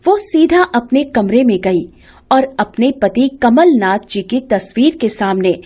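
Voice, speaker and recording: A woman's voice: on the high side, lively intonation, unhurried, mellow. A woman storyteller giving scripted narration of a family moral story.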